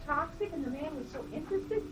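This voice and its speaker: A woman with a high, childlike voice chats away, asking one curious question after another and filling the gaps with little interjections. The mic sits some distance from her, and steady background noise runs under everything. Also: quick pace. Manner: chatty, curious, lots of interjections. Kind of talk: casual chat.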